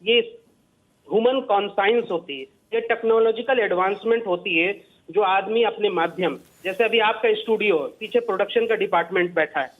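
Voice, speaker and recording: A man's voice: sits high, moderate pace. A man debating as a panelist, speaking emphatically in rhetorical, repetitive lists. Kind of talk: impassioned political debate. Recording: thin phone-like remote link audio.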